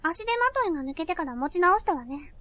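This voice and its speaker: A young woman acting a cool, blunt older high school girl in an audio drama. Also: big pitch swings, fast, fairly bright tone, full character voice. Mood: cool, dry.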